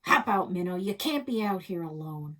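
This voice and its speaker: A woman voicing a gruff, exasperated old grandfather in a children's storybook. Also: lowish voice, lively intonation, moderate pace, a bit bright. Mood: stern, grumpy. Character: grumpy, concerned old grandfather.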